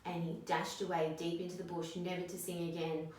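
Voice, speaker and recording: A woman reading a picture book aloud to children in an expressive storytelling style. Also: lowish voice, fairly quick. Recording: very echoey room, distant mic, noisy.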